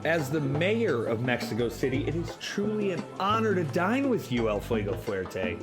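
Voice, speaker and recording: A flirtatious mayor in an audio drama, full of admiration. Her delivery is very animated in pitch, and music plays clearly behind her. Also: normal speed. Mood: admiring, coy.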